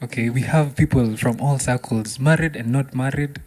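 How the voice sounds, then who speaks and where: A man's voice: mid-range voice, moderate pace, a little mellow. A man with a Kenyan accent hosting a music talk show in casual, bantering style with exclaiming reactions. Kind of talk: casual bantering interview, switching between English and Swahili.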